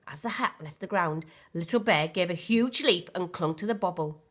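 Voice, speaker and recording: A woman's voice: on the low side, moderate pace, a bit bright. A woman reading a children's storybook aloud expressively, like a primary school teacher.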